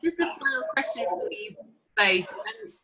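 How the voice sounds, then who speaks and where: A woman's voice: high voice, sing-song, a little slow, a crowd in the background. A woman interviewer putting questions casually, recorded on a muffled, distant microphone.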